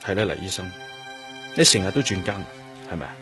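An actor with a Hong Kong Cantonese accent playing a calm, persistent police detective in a radio drama, speaking in a measured, questioning way. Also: male voice, sits low, music in the background. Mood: pressing, suspicious. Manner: measured, questioning, with noncommittal hums.

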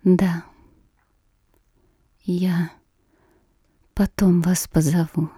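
An actress playing a reserved, grieving young noblewoman in an audio drama, speaking in a hushed, hesitant way. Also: lowish voice, flat delivery, drawn-out words, breathy edge, no echo, studio quality, exaggerated voice. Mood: quiet, restrained. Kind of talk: Russian literary audio drama acting.

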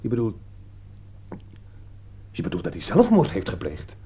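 A man's voice: fairly low pitch, huge pitch swings, normal speed, warm tone, breathy edge, heavily acted. A man acting in a Dutch radio play as a nervous, baffled and indignant friend, hesitant and stammering. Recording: dull lo-fi radio play recording.

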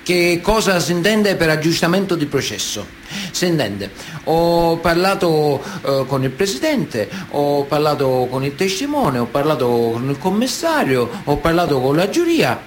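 An actor playing a proud, evasive man of honour in a radio play, with deliberate, sententious delivery. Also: a little high, big pitch swings, a little hiss or hum. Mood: sly, matter-of-fact. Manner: deliberate, sententious, with weighty pauses. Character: proud, evasive Sicilian mafioso, a self-styled man of honour. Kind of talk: theatrical monologue in a radio play. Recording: music under the voice. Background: music.

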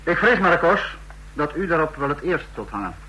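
Cold fascist leader, formal and menacing, slightly high bright voice, old radio drama. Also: male voice, sing-song, moderate pace, poor audio. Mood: icily menacing. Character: cold, commanding dictator of a fascist state.

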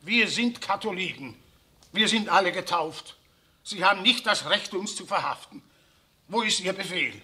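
Frantic dad in a radio play, real bright sharp voice, protesting hard. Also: male voice, high voice, lively intonation, normal speed, full character voice. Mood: agitated, indignant. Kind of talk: radio drama acting.

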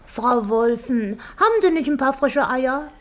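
An actress playing a chatty, wheedling, falsely friendly wife in a German radio play. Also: female voice, sits high, expressive, measured pace.

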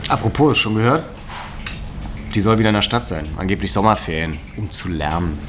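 A man's voice: on the low side, expressive, fairly quick, lightly acted. An actor playing a cocky, down-on-his-luck young man who rambles in laddish slang in a naturalistic radio drama. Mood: rambling, evasive. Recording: noisy location ambience.

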